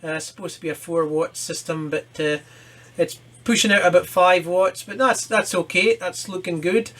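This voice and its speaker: Man, fairly high-pitched voice, casual hands-on demonstration, informal, thinking aloud at a workbench. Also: steady tone, moderate pace, a bit bright, a little hiss or hum. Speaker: presenter of a hobby electronics repair video. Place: workshop, at a workbench.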